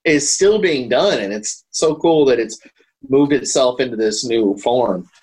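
A man talking casually as a podcast co-host, haltingly, often repeating and correcting his words. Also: medium pitch, expressive, a little mellow, slightly rough, low-quality recording. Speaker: podcast co-host reviewing horror films. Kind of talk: casual film discussion.

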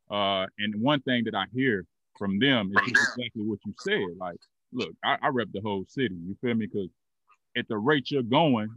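A man's voice: lowish voice, fairly bright tone, dry recording, lo-fi sound. A man in his thirties hosting a podcast, asking questions in a loose, rambling casual way with lots of fillers. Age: 30s. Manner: laid-back, loose and rambling with many fillers. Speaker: podcast host. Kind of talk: casual interview.

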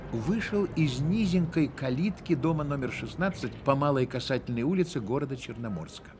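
An actor as wry, very animated male storyteller. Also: a little high, slight background noise, lightly acted. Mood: measured, wry. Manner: wry, expressive, theatrical. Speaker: narrator. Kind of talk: dramatic scripted narration in a radio play.

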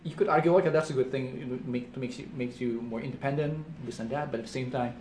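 A man's voice: mid-range voice, steady tone, a little mellow. Indonesian-accented man in an echoey room. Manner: thoughtful, halting with repeated words. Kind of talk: casual conversation. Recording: echoey room, faint background noise.

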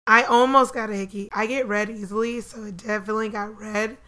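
A woman's voice: medium pitch, fairly even intonation, brisk, pushed and bright. A woman chatting casually as a podcast co-host, matter-of-fact and teasing. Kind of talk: casual chat.

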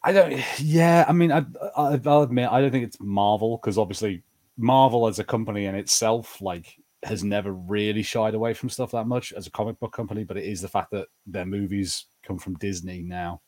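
Low-voiced man chatting casually. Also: steady tone, a little fast, a little mellow. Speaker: podcast host. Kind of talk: casual pop-culture banter.

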